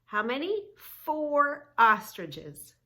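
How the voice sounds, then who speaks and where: A woman hosting a children's yoga read-along, teaching in an emphatic, sing-song way with drawn-out key words and questions. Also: sits high, very animated, sharp tone. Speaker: children's yoga story-time host. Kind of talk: read-along lesson for young children, narrating and instructing.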